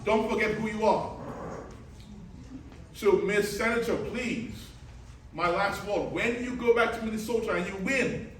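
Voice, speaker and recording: A man's voice: high voice, unhurried, pauses often. A man giving a rousing political speech, emphatic and exhortative, repeating his phrases for effect. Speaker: political speaker at a community reception. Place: reverberant reception room, speaking to a gathering. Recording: echoey, distant hall recording with background noise.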